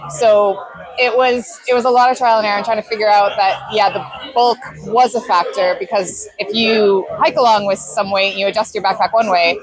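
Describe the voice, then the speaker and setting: A woman's voice: mid-range voice, fairly even intonation, moderate pace, a crowd in the background, rough recording. A woman in her thirties with a Canadian accent, chatting animatedly as an interview guest and laughing often. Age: 30s. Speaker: guest adventure athlete. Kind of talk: casual interview chat. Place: festival marquee.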